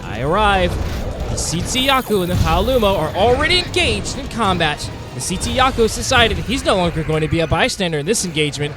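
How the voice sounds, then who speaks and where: A man's voice: sits high, very animated, fairly quick. A man telling the story of a video game hunt as a narrator, with dramatic, suspenseful phrasing. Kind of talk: dramatic storytelling narration over monster-hunting gameplay. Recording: music behind the voice.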